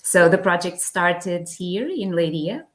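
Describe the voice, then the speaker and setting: A woman's voice: on the low side, lively intonation, slow pace, a bit bright. A Portuguese woman speaking English as a guest in a casual interview, hesitant, with many fillers. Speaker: guest from a language exchange project.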